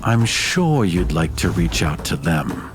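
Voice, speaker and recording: A man's voice: deep voice, sing-song, slow pace, music in the background, pitched below the performer's own voice, full character voice. A man voicing a wily, opportunistic soldier in a tabletop game, choosing formal and persuasive words. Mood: wily bargaining. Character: wily, opportunistic male soldier bargaining for his life.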